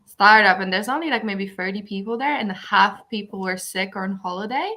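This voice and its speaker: A woman's voice: medium pitch, brisk, bright, forceful tone, a little hiss or hum. A woman hosting a casual livestream, chatting with friends and reading out viewer comments. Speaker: host of a livestream reading sprint.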